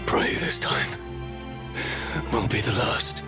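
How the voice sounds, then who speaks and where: A man acting a slow, grave, accusing warrior. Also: lightly acted. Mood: solemn, reproachful. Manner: grave, measured, reproachful. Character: bitter, accusing old friend, a solemn haunting man. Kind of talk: dramatic game voice acting. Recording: music underneath.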